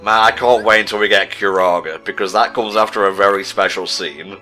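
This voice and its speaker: A man's voice: fairly low pitch, fairly even intonation, a little fast, sharp tone. A man giving casual commentary as host of a video game playthrough. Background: game music.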